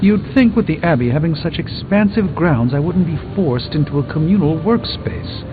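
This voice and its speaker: Game sorcerer male actor: slightly higher-pitched, erudite, composed, dryly witty, formal diction. Tinny narrow-band sound, prominent music bed. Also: animated, moderate pace, lightly acted. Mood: dryly wry. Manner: articulate, formal, dryly wry. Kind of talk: video game character dialogue. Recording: thin band-limited audio under loud music.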